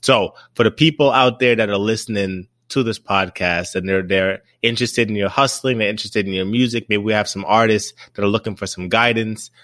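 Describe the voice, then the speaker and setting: A man hosting a podcast, asking relaxed conversational questions. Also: on the low side, a little fast, hardly any pauses, no room sound, studio quality. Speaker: podcast host. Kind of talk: casual podcast interview.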